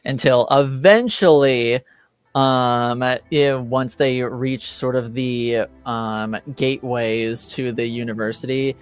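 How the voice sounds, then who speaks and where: A man running a tabletop roleplaying game as game master, narrating in a halting way as he pieces scenes together. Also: medium pitch, steady tone, clear, resonant tone.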